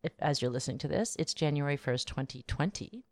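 A woman's voice: sits low, expressive, fairly quick, a little mellow, no room sound. A woman in her forties co-hosting a podcast in casual, hesitant chat. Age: 40s. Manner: hesitant, many fillers and restarts, laughs easily. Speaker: podcast co-host.

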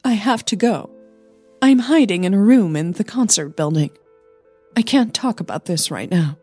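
A woman's voice: medium pitch, lively intonation, moderate pace, a little mellow, husky, faint music behind. A woman voicing an anxious, defensive young daughter in an audio drama. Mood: guarded, hurried.

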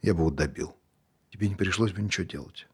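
An actor playing a calm, insistent lover who quietly urges a murder, with loud music behind. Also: male voice, deep voice, fast, background noise, natural voice. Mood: coaxing. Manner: quiet, persuasive, pressing questions. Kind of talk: stage play performance.

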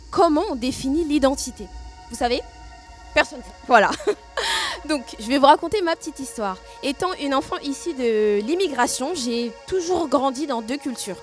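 Une jeune femme née en France qui présente une émission de radio jeunesse, racontant son histoire de façon franche et expressive. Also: female voice, high-pitched. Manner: personal, candid and expressive. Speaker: host. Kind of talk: youth radio show presentation.

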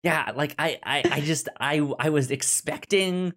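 Slow, stammering podcast host. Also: mid-range voice, fairly bright tone. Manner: halting, stammering with repeated syllables. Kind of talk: casual movie discussion podcast.

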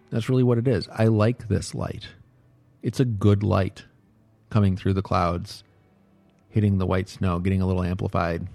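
A man's voice: deep voice, creaky, a little mellow, no room sound. A middle-aged man recording a casual, rambling audio diary indoors on a close microphone, musing hesitantly and repeating himself in short phrases. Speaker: host of a personal audio log. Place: indoors at home.

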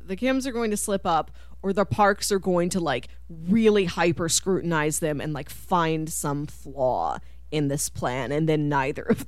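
A woman's voice: medium pitch, no room sound. A woman co-hosting a podcast, talking casually about a film and animatedly voicing what people might be thinking. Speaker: podcast co-host. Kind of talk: casual film discussion chat.

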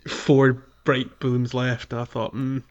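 A man hosting a casual gaming podcast, recapping a playthrough in halting phrases with pauses to think. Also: medium pitch, completely flat delivery, lo-fi sound. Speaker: podcast host.

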